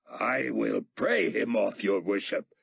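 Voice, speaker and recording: A man acting out an obsequious male worshipper, fawning and slow, near the reader's own voice. It comes husky and breathy in a dry, close sound. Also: fairly low pitch, lively intonation, a bit bright, dry recording, voice pushed up, full character voice.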